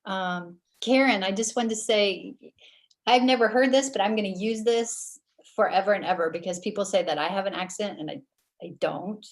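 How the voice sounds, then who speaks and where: A woman's voice: mid-range voice, moderate pace. A woman from Indiana moderates a video webinar, praising the speakers with enthusiasm.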